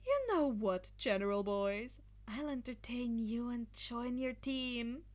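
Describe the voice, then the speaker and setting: A woman voicing a haughty, menacing teenage boy rival with drawn-out, theatrical delivery in a fan fiction audiobook. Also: on the high side, expressive. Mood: smug, menacing. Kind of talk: acted fan fiction dialogue.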